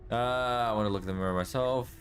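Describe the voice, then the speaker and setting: A man's voice: medium pitch, fast, faint music behind. A man streaming a horror game, chatting casually and laughing often.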